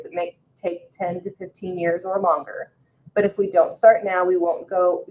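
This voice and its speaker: An American woman reading a written public comment aloud at a town meeting. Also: on the low side. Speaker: town meeting staff member. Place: indoor public meeting room. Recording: dull, muffled meeting-room audio.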